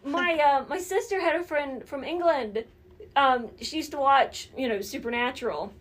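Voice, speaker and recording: A chatty, giggly woman in her thirties with a Southern Ohio accent, co-hosting morning radio. Her voice sits high and bright. She laughs easily between lines. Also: moderate pace. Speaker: radio co-host. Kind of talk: casual morning radio chat.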